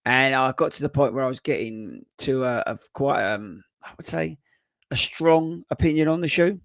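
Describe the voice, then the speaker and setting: A man, a running coach, hosts a live-stream Q&A in a hoarse voice that is only just coming back, chatting loosely with many fillers. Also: medium pitch, moderate pace, fairly bright tone, dry recording, studio quality. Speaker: running coach and podcast host. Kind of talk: casual live-stream chat. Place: home desk.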